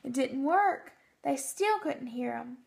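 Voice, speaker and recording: A woman with a high-pitched voice and a strong creak reads a storybook aloud. She narrates for young children and checks in with them with questions. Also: animated, takes it slowly, darkish tone.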